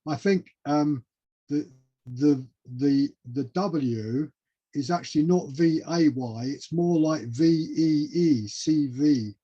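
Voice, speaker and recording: A man's voice: a little high, slow pace, dark tone, husky. A man moderating an online class in a casual, encouraging manner, on muffled call audio. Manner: encouraging, informal. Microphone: video call.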